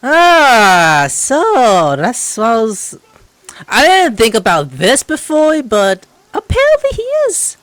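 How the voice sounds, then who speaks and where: A woman's voice: on the high side, huge pitch swings, slow, fairly bright tone. A woman giving casual, opinionated game commentary, sometimes reading dialogue aloud and swearing freely. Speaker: gameplay commentator. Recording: game music faintly behind.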